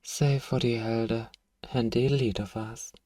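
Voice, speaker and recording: Man playing a slow, hushed, menacing vampire seducer. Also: mid-range voice, fairly even intonation, no echo, recorded from a distance, close to an everyday voice. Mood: hypnotic, condescending. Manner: slow, hushed, taunting, condescending, lapses into whispers. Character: powerful elder male vampire, suave, menacing, manipulative seducer. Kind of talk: ASMR roleplay monologue.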